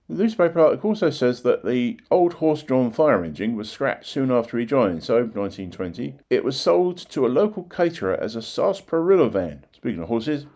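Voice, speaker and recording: A man's voice: medium pitch, darkish tone. An older man, presenting local history in informal narration with plain-spoken conversational asides. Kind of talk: informal narration of old newspaper clippings.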